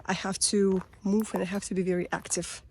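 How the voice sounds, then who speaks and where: A woman vlogging on a hiking trail outdoors, her voice hoarse as it recovers, musing reflectively with loose fillers. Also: mid-range voice, level tone, fairly quick, darkish tone, slight background noise. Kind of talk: casual walk-and-talk vlog.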